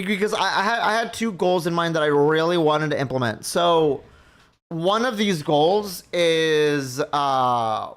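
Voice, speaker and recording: A man's voice: fairly high pitch, a bit bright, clean tone. A man from Mexico chatting casually with his stream viewers, rambling and thinking aloud as he goes. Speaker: livestream host.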